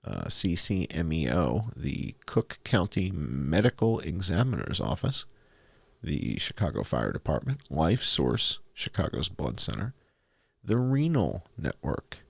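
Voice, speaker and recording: A man hosting a commentary, reading documents aloud in a deliberate, announcer-like way with dry, sardonic asides. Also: deep voice, big pitch swings, creaky, slightly rough, no room sound. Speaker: host presenting and reading documents.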